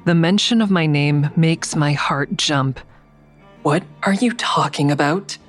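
A woman's voice: low voice, measured pace. An actress playing a guarded, defiant woman in an audio drama, narrating and speaking in a terse, dry, confiding way. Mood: uneasy. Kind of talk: first-person audio drama narration and dialogue. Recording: faint music under the voice.

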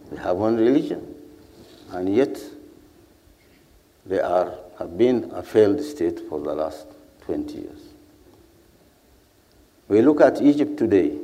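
A man's voice: deep voice, warm tone. A man gives an earnest panel speech, building his points through repeated lists of phrases. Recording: hall with long reverb.

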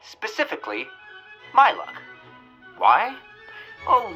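A man's voice: mid-range voice, heavily acted. An actor playing a pompous, old-fashioned male radio host who is exuberant and easily flustered, in a florid announcer style for a comedy audio drama. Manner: theatrical, florid announcer delivery. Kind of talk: audio fiction comedy acting.

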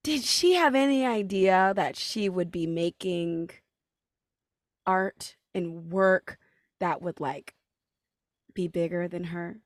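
A woman's voice: lowish voice, slow pace, long pauses, dry recording, studio quality. A woman hosting a casual interview in an effusive, informal way. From California, US. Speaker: podcast host.

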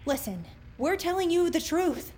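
A woman's voice: extremely high pitch, sing-song, moderate pace, a little mellow, a little hiss or hum. A woman playing a sarcastic, urgent young female friend in an audio drama, easily exasperated. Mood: serious, tense.

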